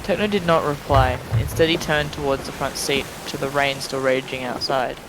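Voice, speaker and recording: A man's voice: medium pitch, steady tone, normal speed, noisy background. A man reading fan fiction aloud dramatically as a streamer, dropping into casual chat, tripping on words and swearing offhandedly.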